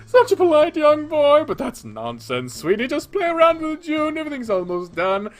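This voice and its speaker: Man voicing a high, sweet, doting mom. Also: very animated, normal speed, soft background music. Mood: doting, cheerful. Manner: honeyed, fussing. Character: sweet, doting, firmly bossy middle-aged mother.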